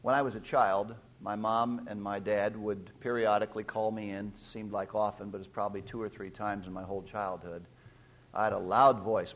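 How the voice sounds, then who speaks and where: A man's voice: a little hiss or hum, poor audio. Middle-aged male pastor, sermon delivery, stops to gloss old scripture words, voice climbs and swells on key verses. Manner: reads scripture aloud and explains old words as he goes; pitch rises sharply and louder when stressing verses.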